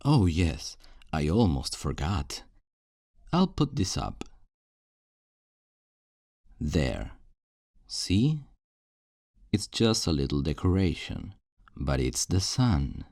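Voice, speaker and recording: A man's voice: rumbling low, vocal fry, a little mellow, no echo, clean studio sound, heavily acted. A man playing a possessive, falsely tender kidnapper in an intimate ASMR roleplay, coaxing and condescending with veiled menace. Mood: falsely cheerful. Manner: slow, coaxing, condescending endearments with veiled threats.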